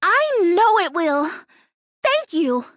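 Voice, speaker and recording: A woman voicing an earnest, excitable houseplant who dreams of being real, as a video game character with dramatic, expressive delivery. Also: very high voice, slow, no echo, studio quality, full character voice. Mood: hopeful, excited.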